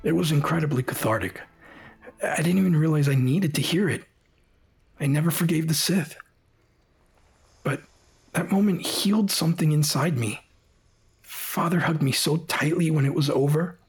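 A man acting in an audio drama as a grown son recounting a childhood memory in a measured, emotional way. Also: on the high side, vocal fry, husky, full character voice. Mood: reflective.

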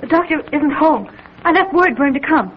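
Worried high-voiced woman, old radio. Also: expressive, fairly quick. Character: concerned women, maid and neighbour, questioning anxiously. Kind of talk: 1930s radio acting. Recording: scratchy, muffled 1930s radio recording.

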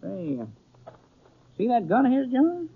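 A man's voice: very high voice, huge pitch swings, unhurried, breathy edge, exaggerated voice. An actor playing a folksy, talkative old small-town man with a rustic American drawl in a 1950s radio western. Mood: curious. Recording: muffled 1950s radio recording.

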